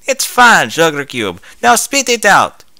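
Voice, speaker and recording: A man's voice: fairly high pitch, wildly swooping pitch, a little slow, gravelly, bright, forceful tone, no room sound, pitched above the performer's own voice, full character voice. A man voicing a folksy, frank farm mare and reassuring wife in a story, blunt but affectionate, with a put-on American Southern accent. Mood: firm, caring.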